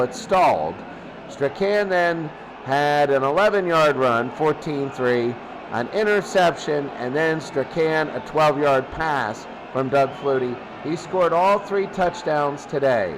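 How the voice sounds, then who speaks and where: A high-voiced man works as a football play-by-play announcer on radio. He delivers plays and statistics at a slow, deliberate pace. Clear noise runs behind his voice. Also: animated. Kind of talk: live football commentary and stat recaps.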